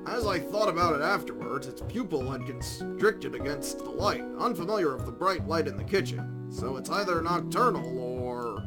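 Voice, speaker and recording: A gravelly older male scientist, acted out by a man for a visual novel game. He is nerdy and pedantic, easily indignant, and his pitch swings widely as he explains, with music underneath. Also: expressive. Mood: earnest reminiscing. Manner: pedantic, halting storyteller. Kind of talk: visual novel fan voice acting.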